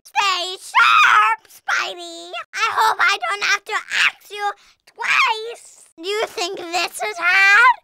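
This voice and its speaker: A performer voicing a childlike, maniacal alien video game villain, shrill and raspy, taunting, in a noisy clip. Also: full character voice. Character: childlike psychotic alien symbiote villain, shrieking gleefully. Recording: very noisy game audio clips.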